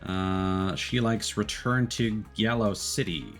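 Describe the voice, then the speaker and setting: Low-voiced man rambling casually on stream. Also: fairly bright tone, faint music behind. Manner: rambling, halting explanations. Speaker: live streamer playing games. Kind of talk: casual chat while gaming.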